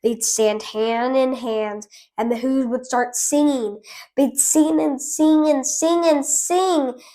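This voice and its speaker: A woman's voice: high voice, fairly even intonation, slow pace, dark tone. A woman hosting a storytime channel, chatting with viewers and reading a picture book aloud. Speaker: storytime host.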